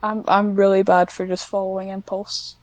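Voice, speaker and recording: A woman's voice: mid-range voice, level tone, moderate pace, no echo. A woman chatting casually as an interview guest, informal and self-deprecating. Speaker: podcast guest, amateur script writer.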